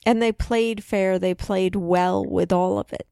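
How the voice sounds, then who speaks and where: A woman's voice: mid-range voice, steady tone, unhurried, vocal fry, warm tone, smooth, no echo, studio quality. A woman co-hosting a podcast, discussing television casually in an analytical, conversational way. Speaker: podcast co-host.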